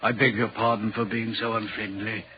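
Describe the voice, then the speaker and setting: A man's voice: fairly low pitch, little pitch movement, moderate pace, lightly acted. An actor playing a lonely, troubled railway signalman in 1940s radio drama, speaking in a grave, hesitant, brooding way. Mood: subdued, reserved. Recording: noisy, dull 1940s radio recording.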